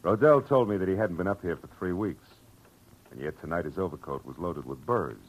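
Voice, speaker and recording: A man's voice: deep voice, a little fast, breathy edge, low-quality recording. An American actor playing a weary, wry hard-boiled male private detective, terse and slangy in 1940s radio style. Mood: explaining, wry. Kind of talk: 1940s radio acting with first-person narration. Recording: old radio drama recording with music.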